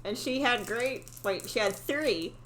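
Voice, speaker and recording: A woman's voice: fairly high pitch, lively intonation, unhurried, a bit bright, rough recording. A woman reading a fairy tale aloud, casually, with sweary asides of her own. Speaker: story reader. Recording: faint background noise.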